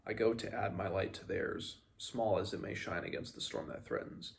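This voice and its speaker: Man reading as solemn, gravelly old shaman. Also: lowish voice, steady tone, moderate pace, a little hiss or hum. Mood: solemn farewell. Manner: solemn, formal recitation. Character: solemn old male shaman reciting his death song.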